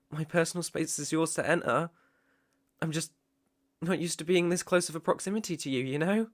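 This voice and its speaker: A man plays a devoted British butler in a romantic ASMR roleplay. He speaks slowly in a slightly high male voice, proper and deferential, with a hesitant edge. The sound is dry and intimate. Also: a bit bright, no room sound. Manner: polite, hesitant, stammering, deferential.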